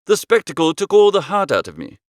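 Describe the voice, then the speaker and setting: A man narrating a comic first-person literary story as an audiobook, in a droll, expressive storytelling manner. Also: high voice, fairly quick, no echo, clean studio sound.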